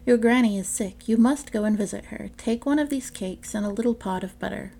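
A woman's voice: mid-range voice, a little fast, vocal fry, dark tone. A woman voicing a fond mother who gives her little girl instructions. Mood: caring. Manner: caring, instructing.

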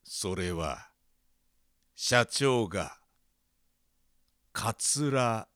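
An actor playing a gloomy, earnest office manager turned prisoner, telling his story with deadpan seriousness in a comedic radio drama. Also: fairly low pitch, drawn-out words, dry recording, studio quality, exaggerated voice. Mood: earnest, dramatic. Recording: music under the voice.

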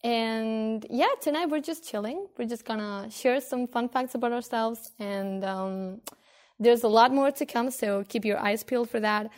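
A woman's voice: a little high, measured pace. A woman in her twenties from Spain, hosting a casual podcast chat with friends, loose and laughing with many fillers. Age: 20s. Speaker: podcast host.

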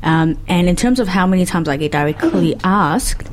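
Low-voiced thoughtful New Zealand woman. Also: lively intonation, a little hiss or hum. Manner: thoughtful, measured, with fillers. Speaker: podcast guest. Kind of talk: reflective conversation.

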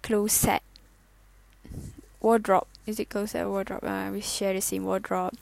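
Slow hesitant young woman, gravelly. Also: medium pitch, flat delivery, darkish tone. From Malaysia. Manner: hesitant, halting, with many fillers and self-corrections; giggly, self-conscious. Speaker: student podcaster. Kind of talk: casual solo podcast intro.

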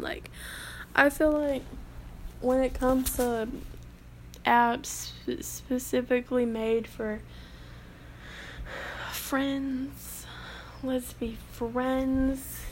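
Teen girl vlogger, slow and chatty, kinda high voice with lots of fry, drops to whispers sometimes. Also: slight background noise, rough recording. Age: late teens. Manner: rambling, chatty, with whispered asides. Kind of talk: casual commentary.

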